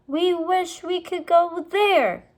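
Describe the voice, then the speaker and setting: A headstrong, eager young girl from a children's storybook, played by a woman reading aloud. The pitch sits well above everyday speech, with an excited, insistent delivery. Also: slow pace. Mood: excited, bold.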